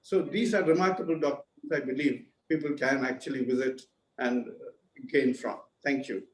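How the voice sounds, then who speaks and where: A man giving earnest, measured remarks as a panelist in a webinar over a video call. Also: mid-range voice, fairly even intonation, roomy sound, rough recording.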